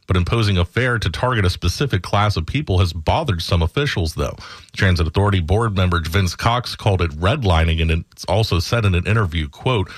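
A man's voice: deep voice, no echo. An American man reading scripted news headlines as a radio anchor.

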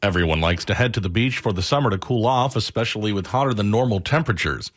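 A man's voice: lowish voice, animated, fast talker. A man reading a scripted radio news report as a reporter.

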